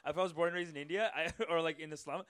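A man from Canada co-hosting a podcast, joking and swearing in quick casual chat in a small studio. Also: on the high side, steady tone, fairly bright tone, no room sound. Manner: fast, joking, swears often. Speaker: podcast co-host and actor. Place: small self-tape studio.

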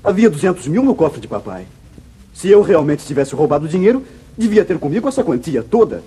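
An anguished, earnest young man wrongly accused, in an old Brazilian radio drama. The voice is very husky and rather high, swinging dramatically in pitch. The recording sounds vintage and muffled. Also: big pitch swings, a little fast, mellow, heavily acted. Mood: accusing. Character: anguished, falsely accused young wayward son. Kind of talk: 1950s Brazilian radio serial acting.

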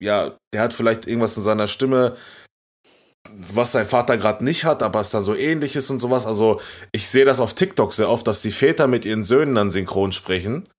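A man co-hosting a casual podcast chat in a relaxed way, laughing easily and agreeing readily. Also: on the low side, a little fast. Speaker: podcast co-host.

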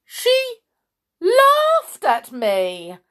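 Woman acting a shrill, wailing storybook king. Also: wildly swooping pitch, drawn-out words, a bit bright, exaggerated voice. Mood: shocked outrage. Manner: sobbing, shrill, stammering outrage.

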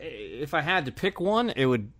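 A man chatting casually as a podcast panellist, thinking aloud in a halting way. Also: a little high, big pitch swings, a bit bright. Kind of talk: casual podcast chat about video games.

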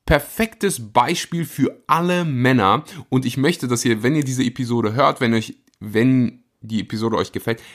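Enthusiastic male podcast host. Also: medium pitch. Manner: energetic, enthusiastic, speeds up and slows for emphasis. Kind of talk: casual podcast intro.

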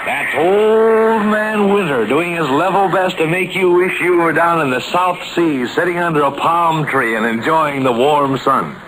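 A man's voice: on the high side, unhurried, few pauses. A man announcing in hearty, emphatic 1940s radio style on a noisy vintage recording. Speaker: announcer and narrator.

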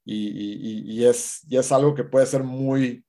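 A man's voice: mid-range voice, steady tone, measured pace, dark tone. A man, an instructor explaining patiently in an interview, full of fillers and restarts. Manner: hesitant, many restarts and fillers. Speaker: certified cold-exposure instructor. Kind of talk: conversational interview answer.

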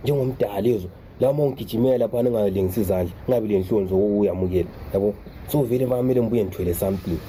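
A proud father in a radio drama, played by a man, protective of his son. He speaks very fast and colloquially, repeating himself, in a very mellow tone over faint noise. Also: lowish voice, lightly acted. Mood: insistent, proud. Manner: repetitive, exclamatory, colloquial.

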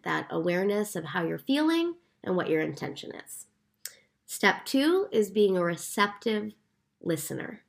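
A woman co-hosting a podcast in calm, explanatory casual chat. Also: mid-range voice, creaky. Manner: calm, explanatory, recaps steps. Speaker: podcast co-host.

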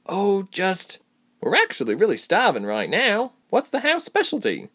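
A man's voice: on the high side, huge pitch swings, moderate pace, pitched above the performer's own voice. A man voicing a blunt, cheeky young woman traveller in a fantasy audiobook, frank and wry. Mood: cutting in.

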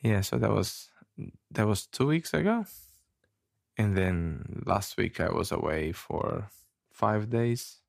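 A man from Romania co-hosting a casual chat, with a rough voice today, talking haltingly with fillers and a self-deprecating streak. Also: fairly low pitch, lively intonation, no room sound, studio quality. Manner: halting, many fillers and restarts, laughs often. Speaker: podcast co-host.

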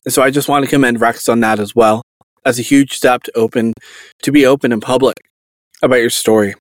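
A young man opens a podcast with a scripted welcome, earnest and measured. His voice sits at an everyday middle pitch. The sound is dry and close, with no room around it. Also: steady tone, no echo. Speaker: podcast host.

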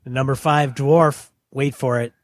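A man's voice: medium pitch, steady tone, a little slow, dry recording. A man co-hosting a casual tabletop gaming podcast, telling stories in a playful, teasing way. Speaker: podcast co-host.

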